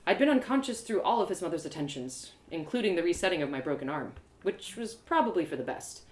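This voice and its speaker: Expressive woman storyteller, lively pitch. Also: quick pace, echoey room. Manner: expressive, theatrical. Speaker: storyteller, audio drama creator. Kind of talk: live first-person dramatic story narration.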